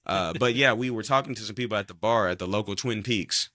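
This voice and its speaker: Rambling bright-voiced man in his thirties. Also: on the low side, fast, no echo, studio quality. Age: 30s. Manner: rambling, profane, trails off. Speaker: podcast host. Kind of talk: casual chat.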